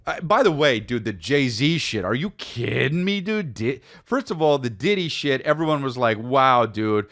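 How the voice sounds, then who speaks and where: A man's voice: medium pitch, very animated, moderate pace, fairly bright tone, no room sound, clean studio sound. A man hosting a comedy podcast, rambling casually in slang and profanity, scattered and often amused by his own jokes.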